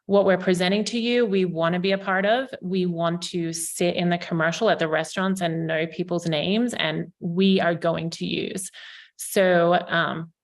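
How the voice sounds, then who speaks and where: A woman's voice: lowish voice, steady tone, unhurried. A woman in her forties with an Australian accent, a developer giving an upbeat, informal presentation to a town council over a video call, with plenty of ums. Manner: upbeat, selling, frequent ums.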